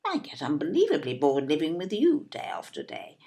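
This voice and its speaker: Older English wife for audio play: affronted, snobbish, fussy, very swooping delivery, lower-pitched. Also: female voice, huge pitch swings, fairly quick, warm tone, full character voice. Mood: aggrieved disbelief. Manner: emphatic, repetitive, tag questions.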